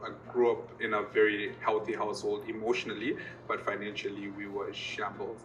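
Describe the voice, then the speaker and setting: Young man, interviewee, storytelling, bright tone, roomy distant recording, soft music. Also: fairly low pitch, flat delivery, a little fast, a little hiss or hum. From Zimbabwe and South Africa.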